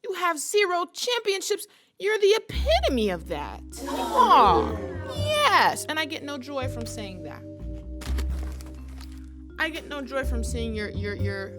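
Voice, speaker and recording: A woman's voice: high-pitched, very animated, slow pace, over music. A woman giving playful reaction commentary to camera, mock-serious and theatrical, hammering phrases through repetition. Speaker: sports fan reaction video host.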